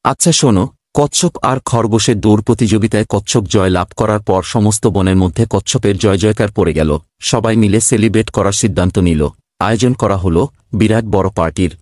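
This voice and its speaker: A man's voice: on the low side, fast talker, a little mellow, no echo, clean studio sound, close to an everyday voice. A man narrating a scripted children's story as the storyteller.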